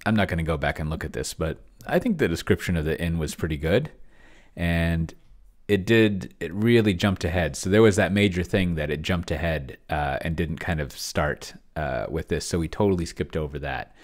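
A man's voice: low voice, lively intonation, moderate pace. A middle-aged man giving a casual, off-the-cuff review, rambling and hesitant as he thinks aloud into a close desk microphone. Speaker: solo role-playing video host. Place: small home office, indoors. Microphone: large desk microphone close to the mouth.

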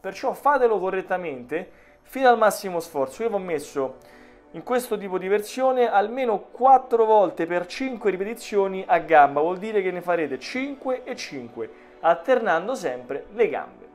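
A man's voice: high voice, lively intonation, normal speed. A man in his thirties from Italy giving informal, encouraging exercise instruction as a personal trainer. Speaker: personal trainer and fitness channel host. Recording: music behind the voice. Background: background music.

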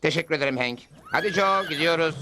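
A man playing a calm, good-natured lone cowboy hero in a cartoon dub. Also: on the high side, fast, noisy background, close to an everyday voice. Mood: composed. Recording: music under the voice.

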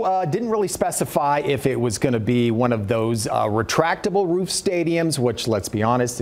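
A middle-aged man anchoring local TV news from a studio, reporting with wry, dry humor. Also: mid-range voice.

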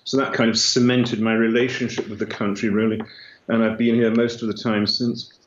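A man's voice: fairly low pitch, flat delivery, a little fast. A man in his fifties from London with an English accent, answering as an interview guest. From London, England. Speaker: guest, church historian. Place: indoor room with long table. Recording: roomy, dull, noisy remote link.

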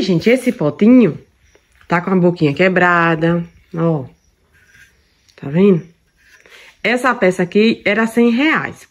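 A woman from Brazil speaks in a relaxed, chatty way, as if showing friends around her kitchen. Her country comes through in easygoing, affectionate phrasing full of little diminutives. Her voice sits at an ordinary middle pitch. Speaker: vlogger. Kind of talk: casual cooking and shopping vlog.

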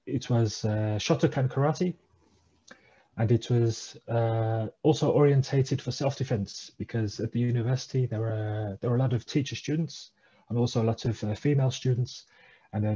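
Guy in his 40s, low mellow voice, lots of uhs, slightly muffled call audio. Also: breathy edge. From England. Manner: hesitant, many uh fillers, thoughtful. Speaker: guest. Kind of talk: casual interview over video call. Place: small room at home, indoors. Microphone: headset on video call.

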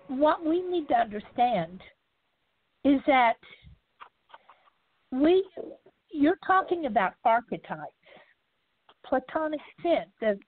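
A woman guest on a talk show, explaining ideas in a measured way. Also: high voice, narrow, tinny audio. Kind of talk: casual talk show chat.

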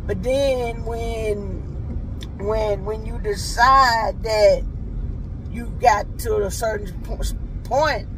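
A woman's voice: mid-range voice, a little hiss or hum, poor audio. A woman in her fifties talking to camera from a car, earnest and motivational, with an emphatic, preaching manner. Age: 50s. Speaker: vlogger. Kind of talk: casual talk to camera. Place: parked car. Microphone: phone held at arm's length.

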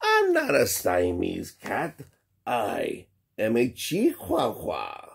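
A man's voice: medium pitch, huge pitch swings, slow, creaky, husky, heavily acted. A man voicing a playful young kitten in a storybook, with a put-on Spanish accent and sing-song delivery.

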